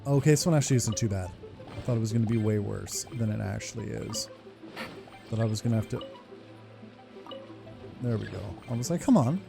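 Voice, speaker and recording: A man hosting a gaming video in casual, sweary commentary. His voice is gravelly and swings up and down in pitch as he gets excited. Music plays behind him. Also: brisk, long pauses. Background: game music.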